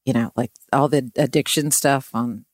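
A woman's voice: rumbling low, fairly even intonation, normal speed, darkish tone, dry recording, studio quality. A woman talking casually as a podcast guest, candid and joking. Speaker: podcast guest, singer-songwriter. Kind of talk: casual chat.

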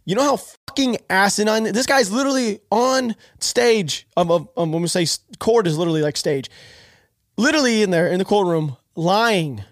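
A man's voice: sits high, animated, moderate pace, dry recording, studio quality. A man hosting a podcast, sounding nasal and stuffed up from allergies, talking through the news in a rambling, opinionated way with many restarts. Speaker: podcast host. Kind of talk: casual political commentary.

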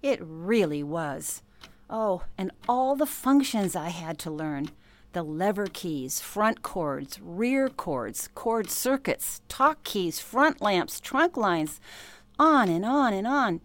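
A woman's voice: medium pitch, lively intonation, takes it slowly. An actress playing an older former switchboard operator who recalls her youth with emphasis, in a radio play interview. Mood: nostalgic.

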